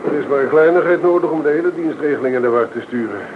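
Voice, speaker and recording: A man's voice: a little high, racing, natural voice. An actor playing a cheeky, sociable advertising man on a train in a radio drama, forward and teasing. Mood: needling. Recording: noisy, thin, phone-like radio play recording.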